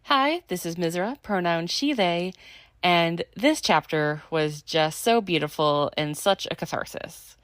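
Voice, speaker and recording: A woman's voice: on the low side, expressive, measured pace, dry recording, clean studio sound. A woman reading out earnest, heartfelt fan commentary as a voice message. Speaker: listener sending a voice message.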